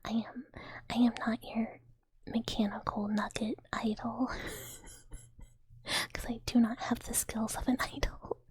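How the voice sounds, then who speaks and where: A woman's voice: fairly high pitch, level tone, unhurried, long pauses, no echo. A young woman streamer whispering a giggly, rambling casual chat with her viewers. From United States. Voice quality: soft breathy whisper. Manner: giggly, rambling, frequent "like" fillers.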